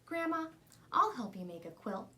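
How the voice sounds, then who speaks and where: An eager little girl from a storybook, voiced by a woman reader, high and very animated. She sounds impatient, over faint background noise. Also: normal speed. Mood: earnest. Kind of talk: children's storybook read-aloud.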